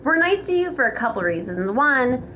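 Female podcast panelist, blunt and profane, higher-pitched, casual, on slightly dull audio with some noise. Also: sing-song, moderate pace. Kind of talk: casual chat. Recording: dull, clearly noisy audio.

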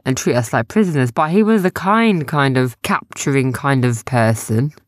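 A woman voicing a small, excitable, easily spooked male creature who speaks in childlike, ungrammatical phrases. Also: rumbling low, moderate pace, no echo, clean studio sound. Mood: earnest.

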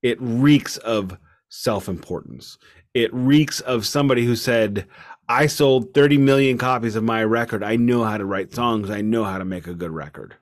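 A man talks casually as a music podcast co-host. His voice sits at an average pitch, and he makes his points with emphasis and strong opinion, at times slipping into mock-formal phrasing. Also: moderate pace. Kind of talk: casual album review chat.

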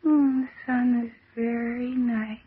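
An actress in an old-time radio drama playing a dazed woman retreating into a serene delusion, speaking in a dreamy, halting, childlike way. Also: on the high side, fairly even intonation, a little hiss or hum. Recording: muffled, tinny old radio recording.

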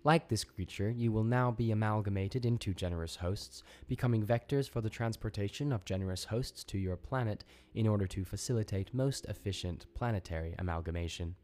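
A man voicing a disembodied alien hive intelligence, speaking in a slow, cold, formal and imperious way for a story. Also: lowish voice, darkish tone, close to an everyday voice.

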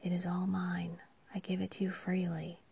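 A woman's voice: lowish voice, completely flat delivery, moderate pace, creaky, dark tone, husky, full character voice. A woman voicing a devoted young peasant woman, speaking in urgent, pleading whispers.

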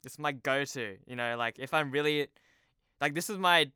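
A man's voice: mid-range voice, moderate pace, pushed and bright, smooth, dry recording, clean studio sound. A young man chatting casually as a podcast guest, informal and self-deprecating. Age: young adult. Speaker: podcast guest, TV promo producer. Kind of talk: casual chat. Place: remote video call.

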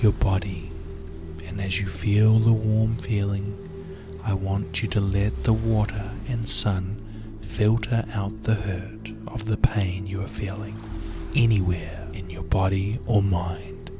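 A man guiding a hypnosis and relaxation session in a soft, soothing, often half-whispered delivery. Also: on the low side, little pitch movement, slow pace, loud music behind the voice. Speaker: hypnotherapy guide. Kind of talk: guided hypnosis and relaxation script.

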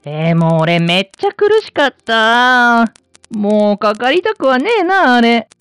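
Casting: actress for cheeky young son in a radio drama, high register, swooping intonation, rough slang, grumbling. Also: female voice, animated, measured pace, fairly bright tone. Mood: complaining.

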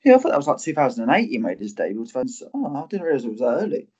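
A man's voice: mid-range voice, huge pitch swings, rapid-fire, a little mellow, husky, lo-fi sound. A man playing a quiz, thinking aloud with hesitant ums. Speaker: quiz contestant. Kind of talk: casual quiz game chat.

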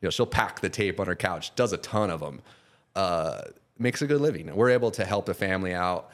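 A man in his forties, a podcast guest, talking casually and rambling, with many restarts and trailing off quietly. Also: deep voice, expressive, brisk. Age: 40s. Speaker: guest, custom painter and product seller.

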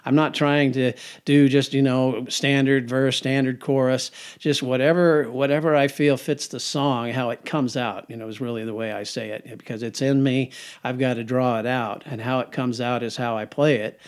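A man's voice: mid-range voice, flat delivery, normal speed, clear, resonant tone. An older man, a songwriter, answering interview questions in a rambling, repetitive way and chuckling often. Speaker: singer-songwriter guest.

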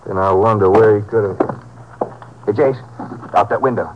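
An actor playing a folksy, alert Texas Ranger sidekick in a 1950s radio drama, brisk and plain-spoken. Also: male voice, fairly low pitch, lightly acted. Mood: watchful. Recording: dull, noisy old radio recording.

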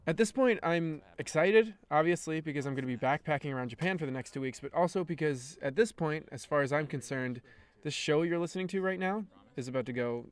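A young American man with an American accent, hosting a podcast and telling a travel story in measured scripted narration. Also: fairly high pitch, clean tone. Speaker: podcast host.

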